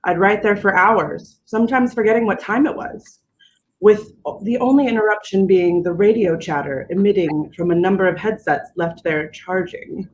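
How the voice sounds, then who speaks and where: A woman's voice: medium pitch, normal speed, poor audio. Woman narrator over faint background noise. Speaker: storyteller reading a story. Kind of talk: scripted first-person narration.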